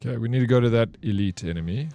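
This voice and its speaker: A young man casually commenting on his gameplay as a streamer, with a flat, monotone delivery. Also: mid-range voice, rapid-fire, dull audio, a little hiss or hum.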